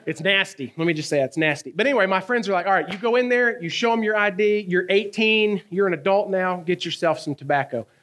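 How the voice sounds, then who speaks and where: A man, preaching a sermon in a conversational, animated way, asking his listeners questions and telling stories from his own life. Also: sits high, fast talker, hardly any pauses. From American South. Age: 30s. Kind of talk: sermon with personal storytelling.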